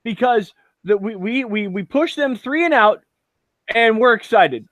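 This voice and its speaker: A high-pitched man recaps a football game on a casual podcast. He speaks in a close, dry recording. He is excitable and jokey and swears freely. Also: unhurried, dry recording, lo-fi sound. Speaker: podcast co-host. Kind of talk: casual sports chat.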